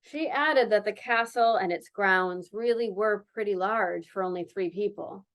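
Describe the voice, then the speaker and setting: A woman reading a mystery novel aloud in an animated, theatrical way, breaking in with amused asides. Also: medium pitch, measured pace. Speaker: reader of a children's mystery novel.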